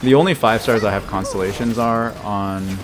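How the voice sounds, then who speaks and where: A man's voice: on the low side, normal speed. An American man in his thirties, chatting casually while streaming a game and answering his chat, laughing often. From United States. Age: 30s. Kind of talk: casual live-stream commentary.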